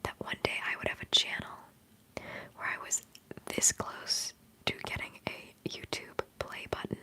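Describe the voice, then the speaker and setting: A woman in her twenties whispering through a relaxed livestream chat, rambling and giggling between thoughts as an ASMR streamer. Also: a little slow, low-quality recording. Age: 20s. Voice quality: soft whisper.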